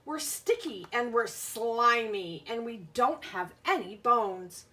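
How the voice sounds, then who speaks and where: Bright-voiced, slow-paced woman teaching children. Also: fairly high pitch, expressive. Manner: simple explanations and questions aimed at young children. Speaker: schoolteacher reading aloud to pupils. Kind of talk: picture-book read-aloud with asides to children.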